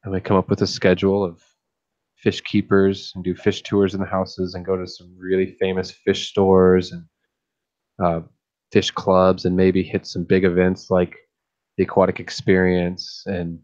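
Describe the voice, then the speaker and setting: A man with a deep voice who streams about fish keeping, chatting casually late at night and thinking aloud with many restarts. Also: completely flat delivery, a little slow, a little mellow, dull audio.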